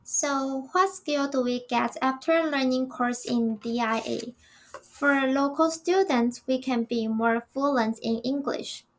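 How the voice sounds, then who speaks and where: High-voiced young Taiwanese woman. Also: takes it slowly, a little hiss or hum, poor audio. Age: young adult. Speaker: student podcast co-host. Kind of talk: reading prepared notes.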